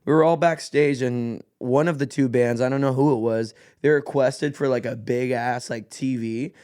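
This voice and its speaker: A young man from Greece, a guest musician chatting casually on a podcast, animated and full of "like" and "bro". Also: mid-range voice, normal speed, smooth. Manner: animated, laughing, lots of "like" and "bro". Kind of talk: casual storytelling chat. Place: podcast studio.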